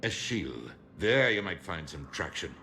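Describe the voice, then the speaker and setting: An actor playing a stern, shrewd older politician in a video game, speaking in a measured, formal, rhetorical way. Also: male voice, sits low, very animated, gravelly, sharp tone, a little hiss or hum, exaggerated voice. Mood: grim, businesslike.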